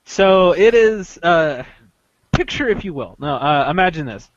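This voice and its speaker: A man with a fairly high-set voice chats casually as a podcast host. He tells his story haltingly, doubling back on himself. The sound is very dry and a little muffled. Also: expressive, dry recording. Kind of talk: casual chat, recounting an experience.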